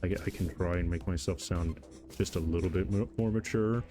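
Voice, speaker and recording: A man's voice: deep voice, moderate pace, music in the background. A man in his thirties with a light voice, chatting casually while streaming a game and rambling through answers to his chat.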